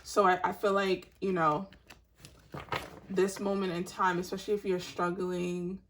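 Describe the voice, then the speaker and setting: A woman giving a tarot reading to her online audience in a soft, meandering, spiritual way, with a raspy voice. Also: lowish voice, little pitch movement, background noise. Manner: soft-spoken and meandering, unhurried spiritual talk. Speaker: tarot reader.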